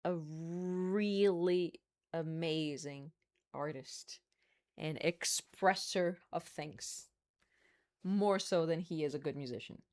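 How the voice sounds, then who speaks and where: Chatty Brazilian woman with a lowish voice. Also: fairly bright tone. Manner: chatty, laughs often, playful asides. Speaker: streamer. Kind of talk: casual live-stream chat.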